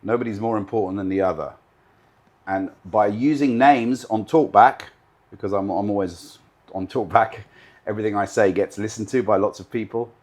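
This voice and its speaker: A man's voice: lowish voice, normal speed, a little mellow. A man in his fifties, raised in Britain, a live TV director answering interview questions confidently, often stacking points in emphatic lists.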